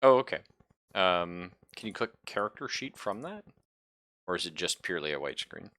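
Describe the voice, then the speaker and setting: A man acting as game master, improvising descriptions and chatting informally, with a halting, casual delivery. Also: low voice, expressive, sharp tone. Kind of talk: improvised tabletop game narration and casual chat.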